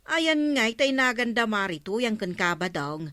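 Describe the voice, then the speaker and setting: A woman's voice: on the high side, very animated, normal speed, bright, forceful tone, no echo. A woman with an Ilocano Filipino accent playing a fussy village woman in a radio comedy drama. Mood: concerned.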